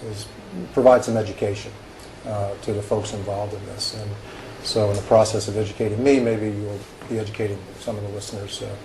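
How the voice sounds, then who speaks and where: Halting man questioning a witness, echoey room. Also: fairly low pitch, dark tone. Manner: halting, many hesitations and restarts. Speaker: examining attorney. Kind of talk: formal deposition questioning. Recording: echoey room with background noise.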